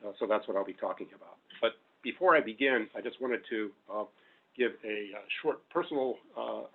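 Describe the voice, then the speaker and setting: A middle-aged man giving a panel talk as a professor, loud-voiced and hesitant, full of fillers. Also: mid-range voice. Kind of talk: public talk with slides. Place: meeting room. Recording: phone-like narrow audio.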